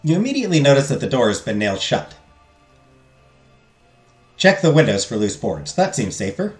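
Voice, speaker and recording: Loud-voiced man streaming a game. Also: mid-range voice, big pitch swings, normal speed. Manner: loud, talks loudly. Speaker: streamer playing a visual novel. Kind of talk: reading game text aloud and chatting with viewers. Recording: roomy echo, faint game music.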